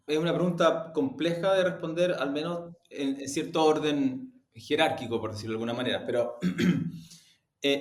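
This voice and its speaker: A man with a Chilean accent answering interview questions in a measured, deliberate, halting way. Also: on the high side. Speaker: interview guest, audio production executive. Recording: roomy, echoey sound.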